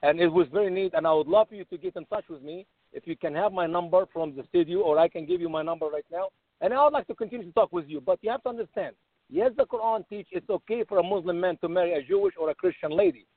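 A man's voice: sits high, quick pace, dry recording. A man calling into a talk radio debate as a guest, insistently pressing to finish his point. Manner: insistent, keeps cutting in to speak. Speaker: guest, radio show host. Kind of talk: phone-in panel debate. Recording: thin phone line, dry.

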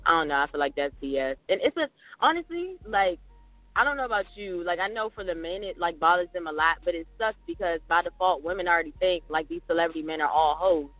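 High-voiced chatty man on a tinny line. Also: lively intonation, quick pace, no echo. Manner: chatty, rambling with many "like"s. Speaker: podcast co-host. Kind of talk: casual chat. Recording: tinny phone-like sound, dry.

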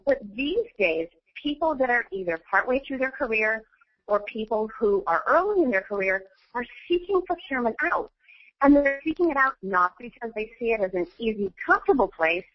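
A woman joins a webinar as a book co-author and explains her ideas in an articulate, measured way. Her voice sits a little high. The audio is dull and phone-like, with no room echo. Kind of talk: webinar discussion.

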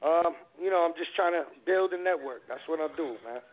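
A man's voice: on the high side, fairly quick. Male guest, 40s, casual rambling talk, tinny phone-quality audio. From New York. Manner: laid-back, rambling with many fillers. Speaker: guest rapper. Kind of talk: casual radio interview. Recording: phone-like narrow sound.

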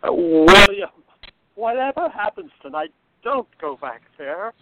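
A man's voice: sits high, big pitch swings, a little slow, heavy background noise. A man playing an anxious man who earnestly pleads with a friend, on a tinny phone-like line. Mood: pleading.

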